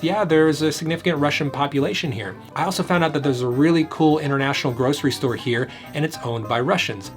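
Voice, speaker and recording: A man talking casually and enthusiastically to camera about his language-learning plans. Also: medium pitch. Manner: enthusiastic, upbeat, occasional fillers. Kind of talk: casual explanatory vlog to camera. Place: home in Asheville, North Carolina.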